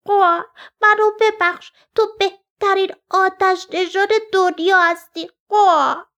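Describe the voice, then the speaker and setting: A woman voicing a brash, excitable young crow child who punctuates speech with caws in a children's story. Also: very high-pitched, voice pushed up, full character voice. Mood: apologetic.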